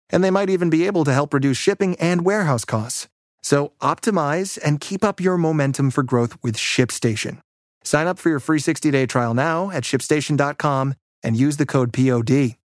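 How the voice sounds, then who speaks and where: A man's voice: fairly high pitch, no echo, studio quality. A man giving a scripted podcast advertisement read. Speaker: advertisement reader.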